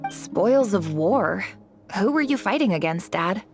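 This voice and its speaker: An actress playing a young daughter of a desert tribe chief, asking skeptical questions in a game cutscene. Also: female voice, mid-range voice, animated, measured pace, music in the background. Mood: curious.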